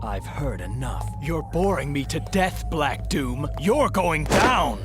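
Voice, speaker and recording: High, intense hedgehog antihero. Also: male voice, big pitch swings, takes it slowly. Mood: defiant. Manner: intense, dramatic. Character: brooding, defiant anthropomorphic hedgehog antihero. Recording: game music behind the voice.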